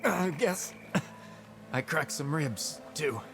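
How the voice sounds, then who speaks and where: Young game warrior, earnest and pleading, widely swinging pitch, faint score behind. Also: male voice, animated, very slow, gravelly, fairly bright tone, faint music behind, heavily acted. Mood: strained, earnest. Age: young adult. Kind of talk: video game cutscene acting.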